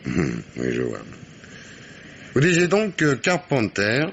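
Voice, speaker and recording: An actor playing a shrewd, sceptical police inspector, wry, insistent and patronising, in old French radio mystery style. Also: male voice, medium pitch, huge pitch swings, takes it slowly, vocal fry, exaggerated voice. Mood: wry probing. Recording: muffled, hissy old radio drama recording.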